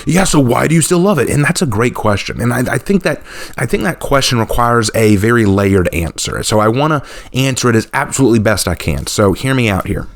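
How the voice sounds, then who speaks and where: A young man, talking casually and unscripted to his channel's viewers, relaxed and thinking aloud with fillers. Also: mid-range voice, brisk. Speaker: YouTube channel host.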